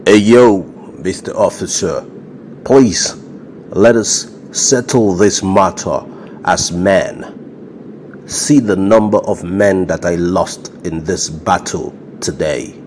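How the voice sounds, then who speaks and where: A cornered gang boss acted by a man pleads his case in a put-on American accent. His voice is low and aggrieved, in comedy skit style, over faint noise. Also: slow pace, a little mellow. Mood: begging. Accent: affected American.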